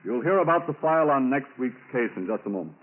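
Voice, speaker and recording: A man reading scripted announcements in a formal, measured, emphatic 1940s radio style. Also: medium pitch, animated, loud music behind the voice. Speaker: radio announcer and sponsor spokesman. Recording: narrow-band 1940s radio recording.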